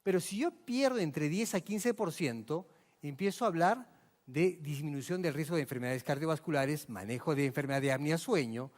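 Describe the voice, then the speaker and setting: A man from Peru delivers a medical lecture in a large hall, his voice a bit higher than most men's and trailing long reverb. He talks emphatically and asks the audience questions. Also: animated, normal speed, darkish tone. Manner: emphatic, rhetorical questions, addresses audience directly. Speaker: physician lecturer.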